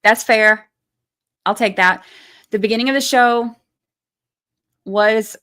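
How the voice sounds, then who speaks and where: A woman's voice: medium pitch, fairly bright tone. Chatty woman livestream host. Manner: chatty, reads comments aloud and reacts. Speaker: livestream host reading viewer comments. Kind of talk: casual livestream chat.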